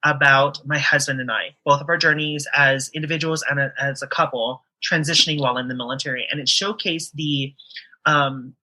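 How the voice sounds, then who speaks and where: A woman's voice: low voice, steady tone, brisk, sharp tone, rough recording. A woman guest on a podcast interview, speaking earnestly with many um fillers and restarts.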